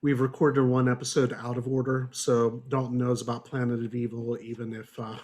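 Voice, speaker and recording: This is a man with a middling pitch, hosting a casual book-club podcast. He is sardonic and exasperated, and he swears freely as he talks. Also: flat delivery, normal speed, darkish tone. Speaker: podcast host.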